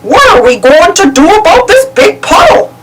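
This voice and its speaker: A woman's voice: very high-pitched, big pitch swings, moderate pace, voice pushed up. A woman voicing a clumsy, good-natured cartoon dog in a goofy, fumbling way. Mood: silly. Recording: far-off mic, loud background noise.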